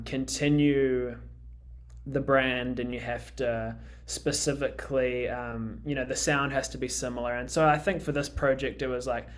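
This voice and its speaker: A young New Zealand man with a Kiwi accent talks casually as a guest on a radio interview. His pitch sits in an ordinary middle range, and he hesitates a lot, with frequent ums and restarts as he thinks aloud. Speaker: musician guest.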